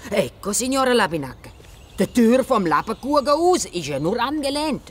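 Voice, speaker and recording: A woman playing an excitable, dramatic Italian lady who loves food in a children's audio drama, with Italian-accented Swiss German and drawn-out exclamations. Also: on the high side, slight background noise. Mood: chatty.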